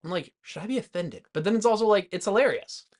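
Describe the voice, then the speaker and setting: Male podcast co-host, slightly high-pitched, loose rambling conversational delivery, heavy on "like.". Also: expressive, quick pace. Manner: rambling, peppered with "like". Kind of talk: casual movie chat.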